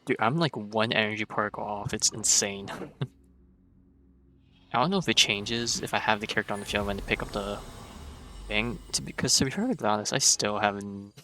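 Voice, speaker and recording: A man's voice: on the low side, moderate pace, bright, forceful tone, slight background noise. A man giving casual live commentary as a game streamer, rambling and thinking aloud. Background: faint game music.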